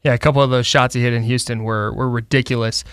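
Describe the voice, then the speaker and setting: A man's voice: medium pitch, fairly even intonation, quick pace, fairly bright tone, clean tone, no echo, studio quality. A man co-hosting a sports radio show, casually interviewing a guest.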